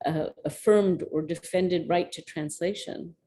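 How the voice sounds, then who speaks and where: A woman's voice: fairly low pitch, fairly even intonation, measured pace, dark tone. A woman lecturer answering an audience question over a video call, speaking thoughtfully with frequent restarts. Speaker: guest lecturer.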